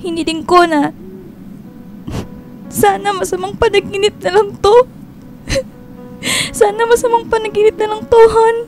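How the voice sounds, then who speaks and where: An actress in a radio drama playing a grief-stricken young woman, pleading and halting through tears. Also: very high voice, level tone, darkish tone. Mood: grieving, aching. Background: music.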